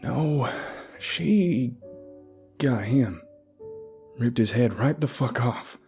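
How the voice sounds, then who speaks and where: A man's voice: medium pitch, expressive, very slow, dark tone, soft background music, voice pushed up. A man voicing a sarcastic, anxious, foul-mouthed boy in a first-person story. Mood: panicked. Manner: mocking, nervy.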